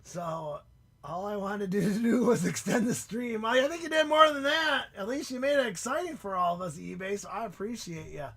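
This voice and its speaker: A man hosting a live stream in casual chat, excitable and prone to loud shouts and laughter, and losing his voice. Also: sits high, normal speed, creaky, breathy edge. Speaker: livestream host.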